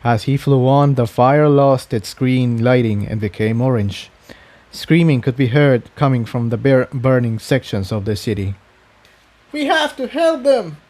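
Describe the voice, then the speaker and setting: A man narrates a scripted fantasy story. His pitch sits in the middle of the male range, and his tone is mellow and relaxed. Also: normal speed. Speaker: fan fiction narrator.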